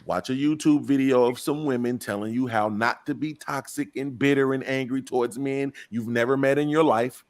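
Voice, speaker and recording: A middle-aged man holding forth on a podcast panel, blunt and profane, preaching his opinions at length. His voice sits at an ordinary, mid-range pitch. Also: fairly even intonation, normal speed, few pauses.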